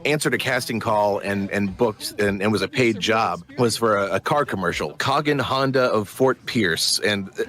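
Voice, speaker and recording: A man's voice: lowish voice, moderate pace, a bit bright. A man speaking as an interviewed voice actor in a casual, modest, rambling way. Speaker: voice actor and musician being interviewed.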